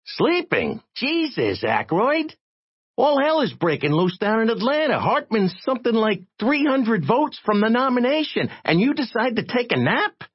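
Audiobook role: tiny crusading reporter, squeaky and husky, slangy, excitable, wildly swooping. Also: male voice, normal speed, dull audio, exaggerated voice. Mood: agitated, needling. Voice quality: squeaky, irritating little voice. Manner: streetwise slangy American talk. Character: tiny, eight-inch man, a frantic, streetwise crusading reporter.

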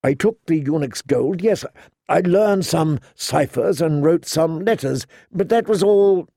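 A man reading an audiobook, voicing a pleading aging male knight who talks haltingly and earnestly, sometimes stammering. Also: fairly high pitch, lively intonation, creaky, warm tone, dry recording, studio quality, voice pushed up, exaggerated voice. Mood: defensive.

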